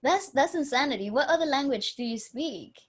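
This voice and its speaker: A woman's voice: high-pitched, moderate pace. A woman from Venezuela, a native Spanish speaker, co-hosting a podcast in English and often reacting with exclamations. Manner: animated, exclaims a lot. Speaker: podcast co-host. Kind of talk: casual podcast chat.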